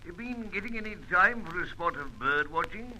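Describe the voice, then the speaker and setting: An actor playing a jovial, stammering older British spy chief speaking over a telephone in a radio serial, with a plummy British accent. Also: male voice, high-pitched, expressive, moderate pace, pushed and bright, full character voice. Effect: telephone filter. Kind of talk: old-time radio serial acting. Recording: thin noisy telephone-effect radio recording.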